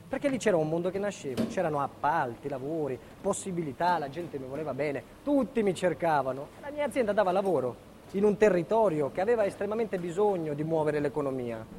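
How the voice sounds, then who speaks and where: An actor playing a proud, burdened builder, telling his life story in an earnest, deliberate theatrical monologue. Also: on the high side, a little mellow, a little hiss or hum. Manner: earnest, deliberate, emphatic pauses. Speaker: lead actor and narrator. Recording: long hall reverb.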